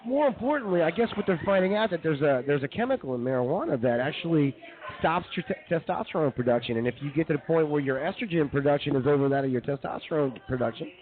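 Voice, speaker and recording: A fast-talking man banters as a comedy radio co-host, his fairly high voice swinging up and down. He jokes and jumps in with short emphatic remarks. The recording is thin, like a phone line. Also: lively intonation. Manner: joking, interjecting, repeats words for emphasis. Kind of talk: casual comic banter. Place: live show at a brewing venue.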